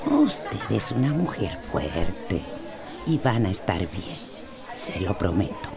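A woman playing a kind, devout old villager in a radio drama, speaking slowly and reassuringly. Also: a bass voice, sing-song, creaky, music in the background, crowd noise, heavily acted. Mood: gentle, consoling. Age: older. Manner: slow, reassuring.

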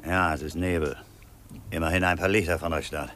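An actor playing a kindly, gruff middle-aged ship's captain in a 1950s German radio drama, musing and hesitant. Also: male voice, rumbling low, steady tone, a little fast, heavy background noise. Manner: hesitant, musing, repeats himself.

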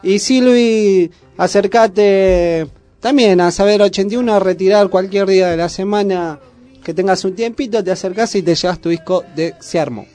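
A man's voice: high voice, moderate pace, darkish tone. A man with a Buenos Aires accent making casual announcements as co-host of a community radio show. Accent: Argentine, Buenos Aires.

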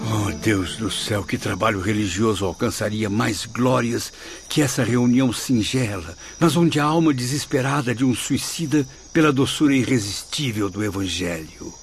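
A man's voice: fairly low pitch, normal speed, lightly acted. A man acting in a radio drama as an earnest spirit who recounts what he witnessed, grave and emphatic. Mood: awed.

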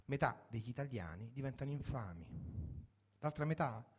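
A man's voice: medium pitch, lively intonation, normal speed, a little mellow, a little hiss or hum. A man reading a first-person story for radio in a reflective, wry manner, in short sentences. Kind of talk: dramatic literary reading for radio.

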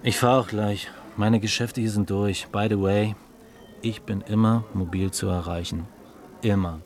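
An actor playing a cool, cynical male dealmaker in a German radio drama, taunting and deliberate, with a superior, mocking manner. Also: fairly low pitch, steady tone, a little mellow. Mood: coolly threatening.

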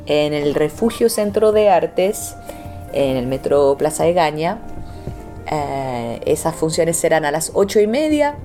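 Low, warm young woman. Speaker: theatre artist, interview guest. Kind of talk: casual interview talk.

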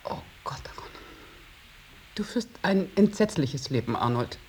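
A woman's voice: low voice, animated, a little slow, in a whisper. An actress playing an anxious, reproachful middle-aged mother in a German radio drama, pleading and scolding. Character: anxious, reproachful mother of a wayward adult son.

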